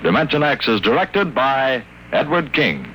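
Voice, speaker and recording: Animated male old-time radio announcer. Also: medium pitch, a little slow, heavy background noise. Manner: dramatic, formal delivery. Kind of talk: 1950s radio announcing, scripted. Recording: old radio broadcast under loud music.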